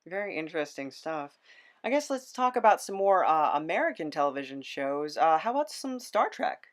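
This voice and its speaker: A podcast host chatting casually in an interview, in a halting, musing way. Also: mid-range voice, sing-song.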